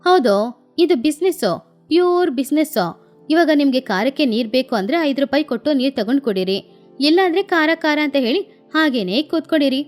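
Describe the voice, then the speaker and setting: A woman's voice: sits high, lively intonation, fairly quick, soft background music. A woman voicing a stingy, scheming female crow with a brazen, bossy manner in a children's bird story.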